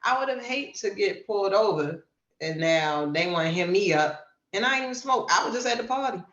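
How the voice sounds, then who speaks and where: Animated woman co-host in a roomy room. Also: mid-range voice, a little fast, muffled recording. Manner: animated, emphatic storytelling. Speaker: podcast co-host. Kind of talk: casual chat reading news.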